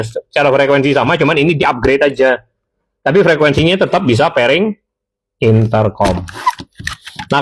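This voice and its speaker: A man's voice: fairly high pitch, a bit bright. Chatty man with slang. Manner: chatty, addresses viewers directly, self-corrects. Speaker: seller demonstrating intercoms. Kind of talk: casual product tutorial.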